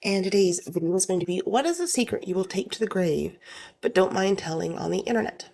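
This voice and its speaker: A woman with a slightly hoarse voice casually reading online stories aloud as host and commenting off the cuff. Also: mid-range voice, expressive, a little fast, mellow. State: slightly hoarse, getting over illness.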